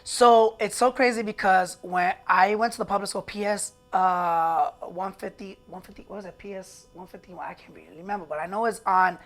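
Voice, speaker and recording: A man's voice: high-pitched, fairly even intonation, normal speed, few pauses, fairly bright tone, soft background music. A man in his thirties from the Bronx with a New York accent, chatting casually as an interview guest and correcting himself mid-sentence. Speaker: guest, singer and actor.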